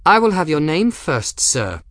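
A man's voice: a little high, sing-song, normal speed, fairly bright tone, no room sound, clean studio sound, voice pushed up. A man voicing a haughty, easily offended young noblewoman in a storybook, arch, precise and imperious. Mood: indignant.

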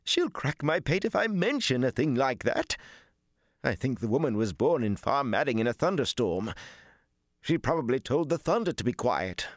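A man's voice: deep voice, animated, slow, dry recording, studio quality, full character voice. A man voicing a stout, older, anxious male servant in an audiobook, deferential, stiff and hesitant in his speech. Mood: alarmed, wheezy. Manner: stiff, hesitant, flustered, stammering. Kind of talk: audiobook character dialogue.

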